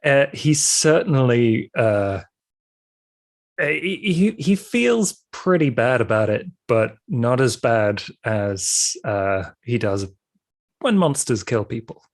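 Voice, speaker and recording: A man playing a tabletop roleplaying game, describing his character in a halting, deliberate way. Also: mid-range voice, sing-song. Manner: halting, deliberate, many hesitations.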